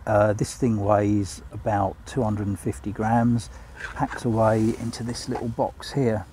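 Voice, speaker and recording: Relaxed older man in the woods. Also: on the low side, unhurried, a little hiss or hum. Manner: relaxed, chatty, with frequent ums and uhs. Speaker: outdoor vlogger. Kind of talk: casual walk-and-talk vlog. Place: outdoors in a woodland.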